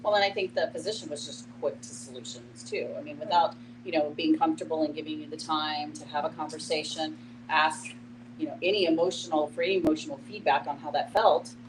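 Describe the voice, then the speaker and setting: A woman's voice: low voice, fairly even intonation, brisk. A woman moderating a casual group discussion in a conversational way, repeating words for emphasis. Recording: clear background noise and hum.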